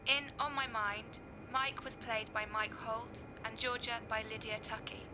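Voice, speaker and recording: A woman's voice: a little high, steady tone, normal speed. A woman announcer reading a scripted credits announcement in a plain, formal way. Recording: clear background noise.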